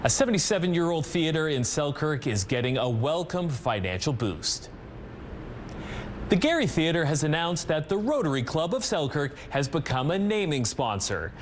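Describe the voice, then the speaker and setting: A male Canadian news anchor reads from a script in a fairly high voice with very animated intonation. A faint rumble of noise sits under the studio delivery. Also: moderate pace.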